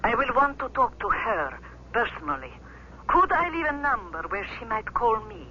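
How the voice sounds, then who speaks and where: A woman's voice: on the high side, sing-song, normal speed, sharp tone, highs cut off. A woman playing a secretive, insistent caller through a telephone filter in a 1970s radio drama. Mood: guarded, urgent. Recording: thin phone sound with faint hiss.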